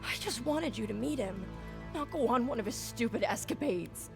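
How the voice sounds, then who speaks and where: Casting: fretful young fantasy game character, urgent and halting, creak in the voice, over music. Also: female voice, fairly high pitch, lively intonation. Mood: anxious, pleading. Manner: stammering, urgent, earnest. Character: anxious young relative, fretful, pleading. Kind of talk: fantasy video game acting. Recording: loud music behind the voice.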